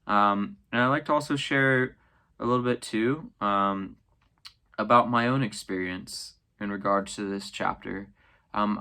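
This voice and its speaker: A man's voice: fairly low pitch, sharp tone, smooth. A young man casually explaining a religious text, with many fillers and tag questions. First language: English. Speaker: channel host explaining scripture. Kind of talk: casual explanatory talk to camera.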